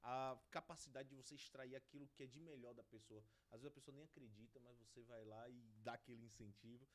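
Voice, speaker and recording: A man's voice: medium pitch, fairly even intonation, fast. A Brazilian man hosting a studio podcast interview, talking with reverence about honor and friendship. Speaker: podcast host.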